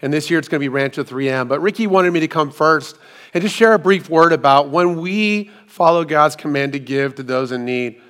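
A middle-aged man preaches with a hoarse, worn voice that is still healing, pitched a little above the usual for men. He tells his story in an easy, self-deprecating way, slipping in asides to the congregation. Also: brisk, fairly bright tone. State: hoarse, recovering from lost voice. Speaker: pastor. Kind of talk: sermon. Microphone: hands-free microphone.

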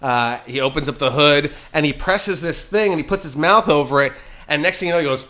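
Bright-voiced man telling a story. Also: a little high, brisk. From New Jersey. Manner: animated storyteller who acts out quoted voices. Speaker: podcast guest. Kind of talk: casual chat, storytelling.

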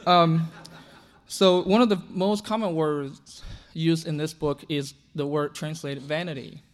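A man's voice: fairly high pitch, fairly even intonation, unhurried. A man from China, whose first language is Chinese, preaching a sermon earnestly, often restarting and repeating words. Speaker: pastor.

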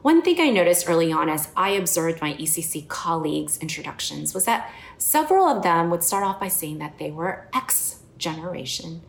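A woman's voice: on the low side, very animated, moderate pace, gravelly, hardly any pauses. A woman from the United States preaching a sermon in an earnest, personal and reflective way. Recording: echoey room.

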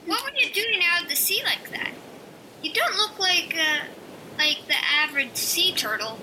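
A defiant young night dragon, acted by a woman, high in pitch and swinging widely through it. The delivery is impassioned audio drama, the words of a born rebel. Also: expressive, moderate pace, bright, forceful tone, distant mic, slight background noise, exaggerated voice, rough recording. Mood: friendly, wary.

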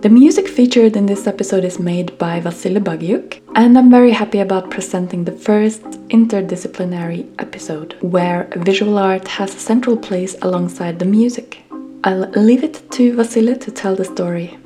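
A woman hosting a podcast, reading a calm, measured introduction. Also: medium pitch, soft background music. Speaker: podcast host.

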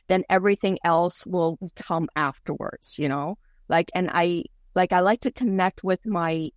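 Woman guest chatting in an interview, cantonese first language, lowish voice, says like a lot, dry sound. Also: no echo. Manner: chatty, frequent "like" and "you know". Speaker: interviewee, mortgage broker. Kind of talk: casual interview answers.

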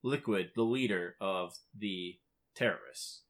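Man, podcast co-host, bright forceful voice, casual recap style, emphatic clipped phrasing. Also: deep voice, slow pace. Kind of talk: casual video game plot recap.

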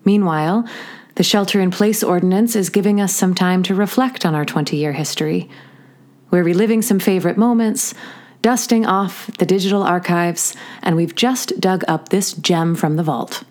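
Woman, slightly low voice, scripted narration, measured, articulate, theatre host introduction. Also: a little mellow. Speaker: theatre company host introducing audio drama.

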